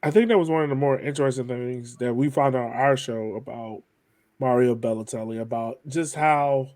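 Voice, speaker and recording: Male podcast host, mid-pitched, casual conversational interviewer, very dry recording. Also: moderate pace, darkish tone, no room sound.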